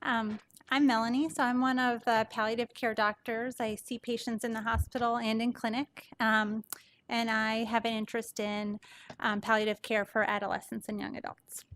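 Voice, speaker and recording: A soft-voiced woman doctor gives an informal medical presentation to an audience, explaining things conversationally. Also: a little high, level tone, normal speed, slight background noise. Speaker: palliative care doctor presenting.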